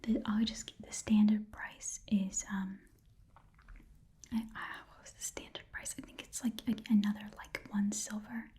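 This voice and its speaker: A woman's voice: mid-range voice, little pitch movement, warm tone, natural voice. A woman voicing a chatty, eager goblin pawn-shop keeper in a whispered ASMR fantasy roleplay, rambling and repeating herself. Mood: haggling, hesitant. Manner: halting, rambling, repeats phrases.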